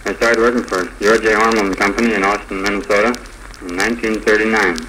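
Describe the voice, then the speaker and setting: Man, American, from North Dakota, radio guest, quick plain answers, faint vintage broadcast noise. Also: on the low side, flat delivery, pushed and bright, poor audio. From North Dakota, United States. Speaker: guest, groom. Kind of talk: brief plain interview answers.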